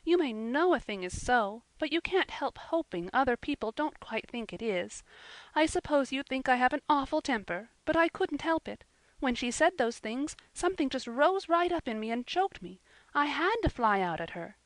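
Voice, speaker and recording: A woman's voice: high-pitched, animated, normal speed, dry recording, pitched above the performer's own voice, poor audio. A woman doing a dramatic, headstrong orphan girl. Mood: upset, pleading. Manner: dramatic, pleading. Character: imaginative, passionate orphan girl, stubborn. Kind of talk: audiobook character voice.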